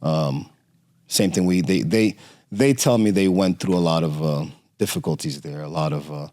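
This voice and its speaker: Middle-aged man guest from Romania, low voice, halting storyteller, dead-dry mic. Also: mellow, no room sound. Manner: halting, many fillers and restarts. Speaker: podcast guest. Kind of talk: conversational interview storytelling.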